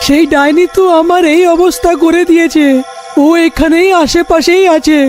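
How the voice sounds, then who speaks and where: A terrified village husband, voiced by a man pleads urgently in a cartoon horror story. His pitch is extremely high, with a little music underneath. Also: steady tone, moderate pace. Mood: urgent pleading. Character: trapped, terrified husband begging villagers for help. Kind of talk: animated fairy-tale acting.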